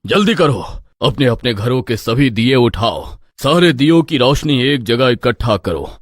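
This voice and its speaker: A man's voice: medium pitch, very animated, a little slow, dry recording, clean studio sound. A man playing a stern, righteous village priest in a moral tale, solemn and commanding. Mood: urgent, commanding. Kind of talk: dramatic moral-tale voice acting.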